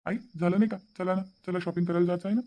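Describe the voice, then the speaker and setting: Casting: voice actor as an easygoing young son, quick persuasive talk. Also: medium pitch, fairly even intonation, muffled recording, slight background noise, close to an everyday voice. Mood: cheerful. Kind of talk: cartoon voice acting.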